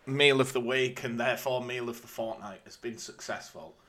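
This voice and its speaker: A man's voice: medium pitch, normal speed, sharp tone. A man with a British accent, talking casually as a podcast co-host. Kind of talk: casual chat.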